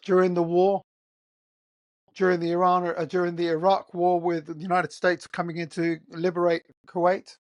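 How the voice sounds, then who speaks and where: A man's voice: sits high, completely flat delivery, moderate pace. Heated English man, solo podcast rant. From born in Qatar, raised in England. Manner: emphatic, heated, full of rhetorical questions. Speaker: podcast host. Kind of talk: solo opinion monologue.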